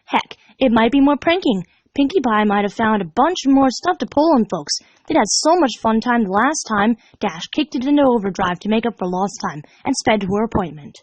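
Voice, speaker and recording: A woman reading fan fiction aloud as an expressive storybook narrator, animated and dramatic in her delivery. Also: a little high, moderate pace, few pauses, dry recording, dull audio.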